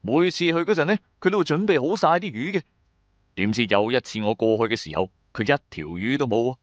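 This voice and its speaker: A man's voice: mid-range voice, expressive, fairly quick, dry recording, lo-fi sound, close to an everyday voice. A man playing a shrewd, wry trader who narrates his own adventures in colloquial, sardonic radio-drama style. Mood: musing, storytelling. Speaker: narrator and lead character.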